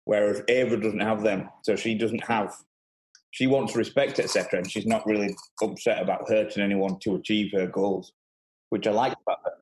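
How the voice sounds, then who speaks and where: A man's voice: lowish voice, steady tone, brisk, rough recording. A man chatting informally as a guest on a podcast. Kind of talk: casual chat.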